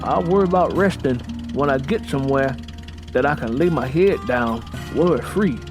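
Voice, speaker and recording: An actor playing a stubborn, plainspoken young Southern husband in an audio drama, folksy and insistent, with a Southern US accent. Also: male voice, medium pitch, normal speed, over music, lightly acted. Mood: stubborn, wary. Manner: folksy, insistent, long-winded.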